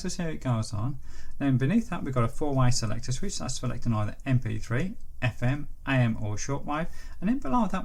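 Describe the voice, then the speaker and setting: Animated man with a gravelly edge. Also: mid-range voice. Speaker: presenter of a radio review channel. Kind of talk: product review, hands-on demonstration commentary.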